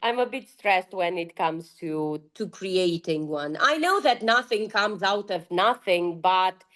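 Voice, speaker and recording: A woman teacher talks slowly on an online panel about using podcasts in class. She hesitates and restarts her sentences often. She speaks over a video call. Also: on the low side, lively intonation, fairly bright tone. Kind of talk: panel discussion answer.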